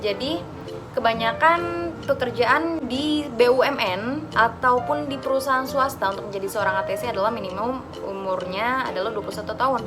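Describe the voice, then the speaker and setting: A woman's voice: fairly high pitch, moderate pace, over music. A woman with an Indonesian accent, explaining things in an informal, instructive vlog style as if talking to friends. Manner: conversational, instructive, addressing listeners as friends.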